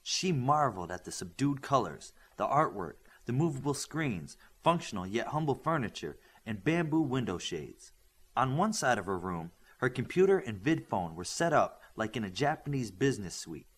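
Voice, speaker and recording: A man's voice: mid-range voice, big pitch swings, moderate pace, breathy edge. A man reading a story aloud as scripted audiobook narration. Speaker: audiobook reader. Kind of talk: scripted fiction narration with acted dialogue.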